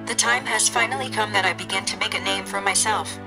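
A woman playing a scheming AI phone assistant with a flat, measured, formal delivery in a comedy radio drama. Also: medium pitch, music in the background, close to an everyday voice. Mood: coldly triumphant.

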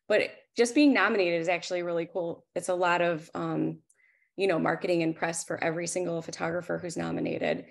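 A woman's voice: fairly low pitch, creaky. A woman in her forties speaking candidly and conversationally as she presents her photography work on a video call. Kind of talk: informal slide presentation.

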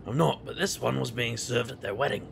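A man playing a cocky, wry magician hero in an urban fantasy audio drama, gravelly and bantering. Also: mid-range voice, a little fast, noisy background. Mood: fond. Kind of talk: audio drama dialogue and first-person narration.

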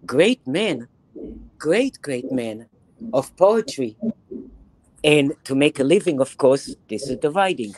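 A woman in her eighties from Israel with a Bronx accent, lecturing a reading group over a video call in an animated, emphatic way, repeating phrases for effect. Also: low-pitched, takes it slowly, long pauses, no echo, poor audio. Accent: Bronx New York. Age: 80s. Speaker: guest lecturer. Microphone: webcam on video call, close to the face.

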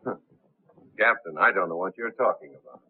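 A suave middle-aged gentleman impostor played by a male actor with a low voice and unflappable, polite delivery. He sounds like a 1930s movie, narrow and tinny. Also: brisk, slight background noise, natural voice. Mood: smoothly unruffled. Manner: polite, smooth, hesitant with "uh". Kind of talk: 1930s film acting.

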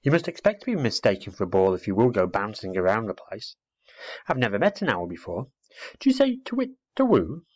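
A man's voice: lowish voice, wildly swooping pitch, fairly quick, a little mellow, muffled recording. A man voicing a forthright, proud little schoolgirl who knows it all, in a children's storybook. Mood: brisk, bossy.

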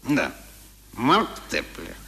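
A sly older gentleman in a vintage radio drama. His voice is bright and forceful, swinging widely as he takes his time, teasing and prodding with little questioning grunts. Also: mid-range voice, wildly swooping pitch, drawn-out words, creaky, exaggerated voice. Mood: sly, probing. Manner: teasing, interjecting, repeats questions. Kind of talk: 1970s Russian radio play acting.

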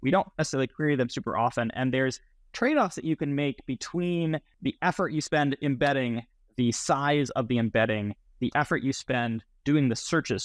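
A man's voice: medium pitch, no echo. A middle-aged man explaining technical matters as an interview guest on a video call. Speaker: interview guest, software founder. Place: small plain room indoors, video call. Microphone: earbuds on a video call.

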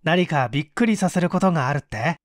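A man voicing an earnest, brisk young male engineer in audiobook dialogue, polite and businesslike. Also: a little high, lively intonation, dry recording, clean studio sound, close to an everyday voice. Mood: keen.

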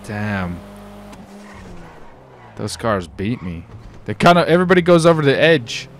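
A man with a gravelly voice chatting casually and slangily while playing a roleplay game. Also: mid-range voice, very animated, a little slow, noisy background. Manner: slangy, says dude and baby often. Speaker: gaming video host, roleplay player. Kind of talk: casual gameplay roleplay chat. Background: car game sounds.

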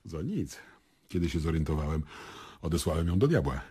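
An actor playing a wry, easygoing, worldly stage and film performer in a radio drama. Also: male voice, extremely low pitch, sing-song, fairly quick, dark tone, husky, full character voice. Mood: wry, reminiscing. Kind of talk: Polish radio drama acting.

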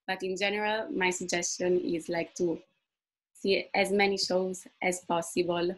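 A woman's voice: fairly low pitch, flat delivery, a little slow, rough recording. A woman whose first language is Italian, the community manager answering questions on a video call, laughing often between answers. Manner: laughs often, fluent non-native phrasing. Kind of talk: webinar Q&A answers.

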